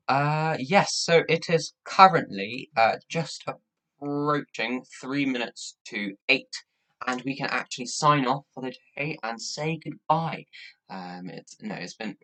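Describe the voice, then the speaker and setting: A young man from Latvia, a native Russian speaker, chatting casually as a livestream host in a rambling, halting way. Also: medium pitch, fairly bright tone, rough recording. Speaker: livestream host and youth charity campaigner. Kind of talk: casual livestream chat, thanking viewers.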